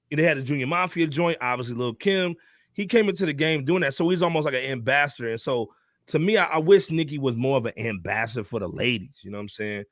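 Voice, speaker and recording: A man co-hosting a music podcast, talking in a relaxed, slangy way. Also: mid-range voice, dry recording, clean studio sound. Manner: relaxed, slangy, drops "man" and "you know". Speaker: podcast co-host. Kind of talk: casual opinion chat.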